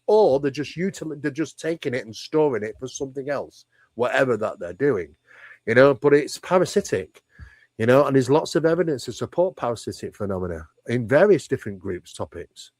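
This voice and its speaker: Animated man explaining emphatically in an interview. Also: medium pitch, a little fast, no echo. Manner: emphatic, rhetorical questions, repeats phrases for stress. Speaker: interview guest, paranormal researcher.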